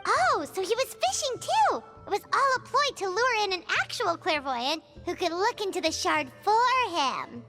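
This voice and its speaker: Squeaky, very high childlike companion creature. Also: female voice, big pitch swings, moderate pace, hardly any pauses, full character voice. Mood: excited realization. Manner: chirpy, inquisitive. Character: tiny cheerful childlike floating companion creature. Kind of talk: animated video game cutscene acting. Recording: loud music under the voice.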